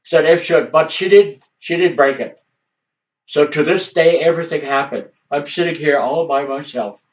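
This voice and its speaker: A man's voice: on the high side, moderate pace. An older man whose first language is Assiniboine, telling family history in a rambling, casual way and chuckling often. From Montana, US. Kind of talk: casual storytelling. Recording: dull distant home recording.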